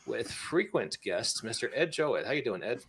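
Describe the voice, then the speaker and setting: A man's voice: mid-range voice, very animated, normal speed, a bit bright, husky. Jokey American podcast host, a man. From United States. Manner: jokey, informal. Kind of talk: casual podcast chat.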